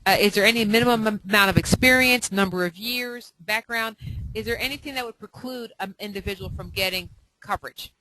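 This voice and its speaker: A woman hosting a professional web conference call, putting questions in a somewhat halting way. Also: mid-range voice, bright, forceful tone, dry recording, rough recording. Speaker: host, inspection mentor.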